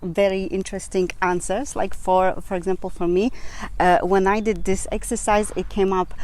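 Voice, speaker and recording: A woman's voice: medium pitch, level tone, moderate pace, darkish tone, slight background noise. Earnest woman with a Polish accent. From Poland. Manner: earnest, many fillers and restarts. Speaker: channel host. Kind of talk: motivational talk to camera.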